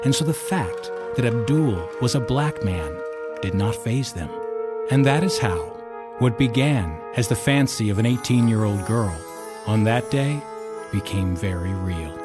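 A man's voice: fairly low pitch, lively intonation, a little slow. A man narrating a historical war tale for an audio drama in a grave, wry storytelling manner. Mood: measured, wry. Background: music under the narration.

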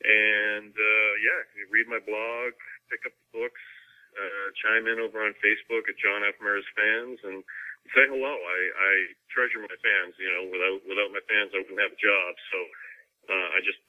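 Low-voiced man on a dull phone-like line. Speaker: novelist being interviewed. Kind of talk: casual interview talk.

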